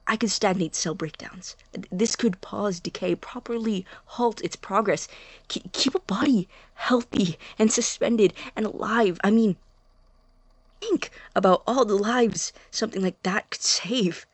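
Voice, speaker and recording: A woman playing an anxious, obsessive young science student in an audio drama, halting and interrupting their own thoughts with intensity. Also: medium pitch, slightly rough, no room sound. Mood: fervent, earnest.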